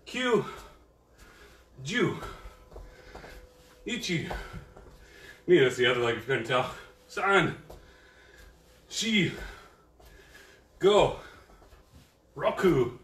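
A man's voice: on the high side, big pitch swings, very slow, pauses often, a bit bright, a little hiss or hum. A man teaching an online karate class, counting drills aloud and explaining self-defense with an easygoing, joking manner. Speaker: karate instructor. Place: dojo training room. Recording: echoey room, voice from far off.

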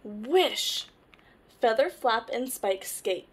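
A young woman hosting storytime, reading a children's picture book aloud with animated, deliberate phrasing. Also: a little high, husky. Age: young adult. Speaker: storytime host.

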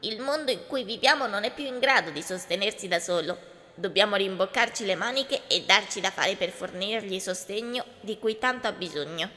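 A woman's voice: medium pitch, normal speed, pushed and bright, natural voice. A woman playing an earnest young activist giving a rousing speech in an anime fan drama, with hall reverb.

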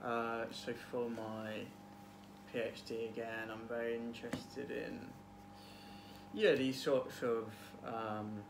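A man in his twenties talks in a thoughtful, halting way, as if in casual chat at home. His voice sits at an average pitch. The recording has clear noise, picked up by a camera mic a little way off in a small room. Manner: hesitant, thoughtful, trailing phrasing. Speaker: book vlog guest, PhD student. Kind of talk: casual chat about books.

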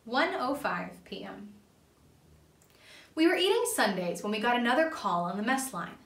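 A young woman reads a children's book aloud with very lively intonation and a bright tone. She is some distance from the microphone in a small, echoey room. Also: on the high side, normal speed, vocal fry. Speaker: storyteller. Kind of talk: children's storybook read-aloud. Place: small indoor playroom. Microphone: camera a few feet away. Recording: roomy, distant camera microphone.

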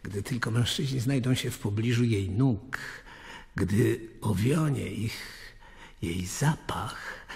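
An actor playing a Roman's cynical, gossipy companion in a radio drama, with a husky voice. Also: male voice, on the low side, takes it slowly, darkish tone, heavily acted. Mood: knowing.

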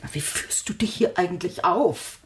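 A woman's voice: fairly low pitch, moderate pace, a little hiss or hum, close to an everyday voice. A woman playing a stern, impatient mother who scolds and commands in a radio play. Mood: stern, reproachful. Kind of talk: audio drama acting.

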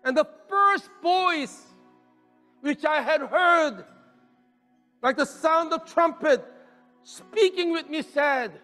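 Middle-aged male pastor, very high voice, animated sermon delivery, long church-hall reverb. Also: slow, long pauses, faint music behind. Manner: animated, gesturing widely, swings from loud declarations to near-whispers. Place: church stage with drums and podium.

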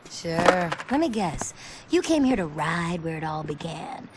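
A woman's voice: fairly low pitch, big pitch swings, a little slow, very noisy. An actress voicing a streetwise, sarcastic young punk woman in a video game cutscene. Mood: wry, teasing. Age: young adult. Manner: wry, sarcastic, teasing.

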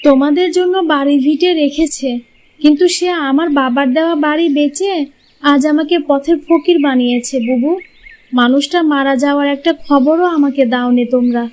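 A woman's voice: sits high, normal speed, a little mellow, lightly acted. A woman voicing a poor, weary widowed village mother in a cartoon, tender and plaintive, loving but doubtful. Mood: aggrieved. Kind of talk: Bengali moral-tale cartoon dubbing.

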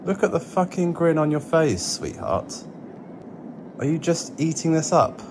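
Man playing a flustered boyfriend, pleading, wry, audio roleplay, vehicle ambience clearly behind. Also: fairly high pitch, sing-song, unhurried. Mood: wry, exasperated. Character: exasperated, flustered boyfriend pleading with a teasing sweetheart. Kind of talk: ASMR boyfriend audio drama. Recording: clear cabin noise behind the voice. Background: vehicle and cabin ambience.

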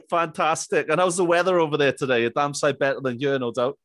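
A man's voice: a little high, fairly bright tone, no echo, clean studio sound. A man in his thirties hosting a casual interview, with a chummy, jovial manner. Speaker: podcast host. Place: small indoor room. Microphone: close boom-arm microphone with headphones.

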